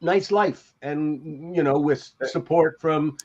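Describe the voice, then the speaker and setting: An older man with a notably high voice reminisces casually on a panel. He talks in a halting, rambling way. The sound is dull and lo-fi, like a home video call. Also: darkish tone. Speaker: podcast panelist, TV industry veteran.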